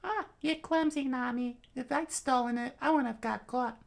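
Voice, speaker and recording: Casting: teasing big-sister kid played by a woman, cocky, pitched way up. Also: normal speed, creaky.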